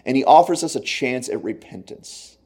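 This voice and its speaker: A man preaching a sermon in an earnest, conversational way, slowing down to stress key phrases. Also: medium pitch, lively intonation, darkish tone, slightly rough. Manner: laughs at own jokes. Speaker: pastor.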